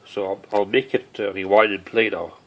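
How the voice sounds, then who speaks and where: Low-pitched man, halting hobbyist narration. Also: fairly even intonation, a bit bright, poor audio. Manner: halting, rambling, thinking aloud. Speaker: hobbyist showing his broken tape recorder. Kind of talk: unscripted handheld demonstration narration. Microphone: handheld camera or phone mic.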